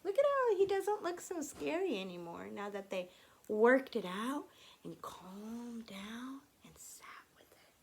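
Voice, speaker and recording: Slow, expressive woman reading to children. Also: on the high side. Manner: simple, instructive phrasing for young children. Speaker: teacher reading aloud to children. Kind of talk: expressive children's read-aloud and gentle explanation.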